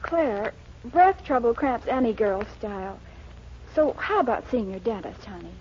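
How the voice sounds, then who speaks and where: Young female, high voice, candid confidante, 1940s radio ad acting, noisy vintage sound. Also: lively intonation, a little slow, a little mellow, dull audio. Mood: frank, coaxing. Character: frank, advising young girlfriend at the beach.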